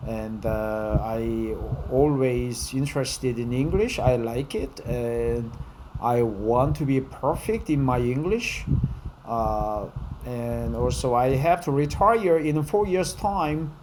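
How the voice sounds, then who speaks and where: A man's voice: medium pitch, expressive, slow pace, noisy background. A Korean man in his fifties speaking English as a learner, with many fillers and careful non-native phrasing as he talks and then reads an article aloud. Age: 50s. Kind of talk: casual spoken practice, then slow reading aloud.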